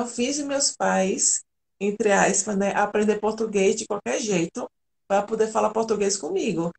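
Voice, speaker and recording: Woman from argentina on a live video call, gravelly voice, candid and earnest, telling her own story. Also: medium pitch, darkish tone. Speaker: guest, integrative therapist. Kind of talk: personal story in a live interview.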